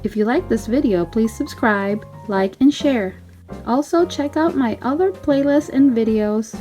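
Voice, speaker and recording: A woman reading a children's story aloud in an animated, emphatic, child-directed way. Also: on the high side, measured pace, faint music behind. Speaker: storybook reader. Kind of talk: expressive children's read-aloud narration.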